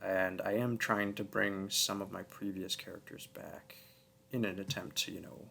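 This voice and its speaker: A soft-spoken man giving a casual sign-off to listeners, earnest and a little hesitant. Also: sits low, little pitch movement, moderate pace, gravelly, slight background noise. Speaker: audio roleplay creator.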